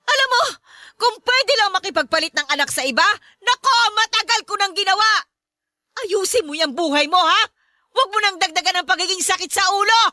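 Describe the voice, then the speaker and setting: A very high, piercing mother's voice played by a woman in a radio drama. She is short-tempered and nags relentlessly, scolding her grown children in a bright, sharp tone, close and dry. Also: expressive, fairly quick, no echo, full character voice. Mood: exasperated, berating. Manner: nagging, berating, exclamatory. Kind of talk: Tagalog radio drama acting.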